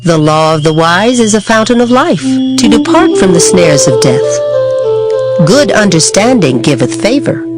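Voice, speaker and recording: Scripture reader, mid pitch, very animated intonation, music playing underneath. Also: measured pace. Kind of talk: dramatized scripture reading. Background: background music.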